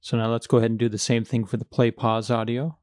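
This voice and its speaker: Male tutorial instructor, mid-pitched, methodical and plain-spoken, recorded close and dry. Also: flat delivery, quick pace, darkish tone, no room sound. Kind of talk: screencast coding walkthrough, explaining step by step.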